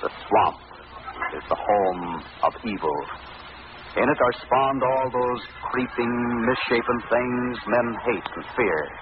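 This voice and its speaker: A man gives dramatic 1940s radio narration, portentous and very animated in pitch. The recording is muffled, with clear noise behind him. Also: slow pace.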